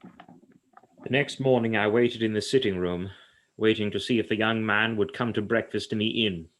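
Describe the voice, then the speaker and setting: A man's voice: on the low side, steady tone, normal speed, a bit bright, natural voice, rough recording. A man acting in a radio play as a solemn, haunted psychiatrist who speaks formally and ominously. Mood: measured. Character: grave, haunted male psychiatrist who fears the supernatural.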